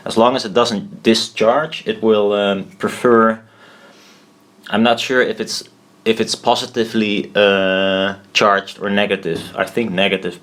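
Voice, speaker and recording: Male hobbyist explainer, lowish voice, very slow and halting, informal and unscripted, thinking out loud. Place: indoors at a desk.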